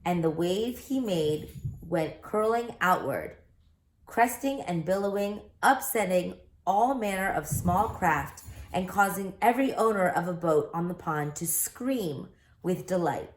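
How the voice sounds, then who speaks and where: A woman reading a children's book aloud as an expressive storyteller, recorded in an echoey room. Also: lowish voice, unhurried.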